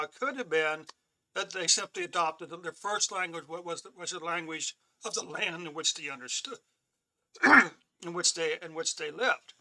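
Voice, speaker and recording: Male Bible teacher, high and very bright voice, halting delivery, clears his throat often. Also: rough recording. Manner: checks in with "okay?" often; reads from on-screen notes and explains them. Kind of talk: live-streamed Bible lesson.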